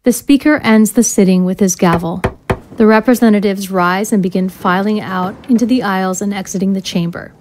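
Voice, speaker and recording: A woman gives scripted narration of screenplay scene directions. Her pitch is average for a woman, and there is faint noise on the recording. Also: brisk.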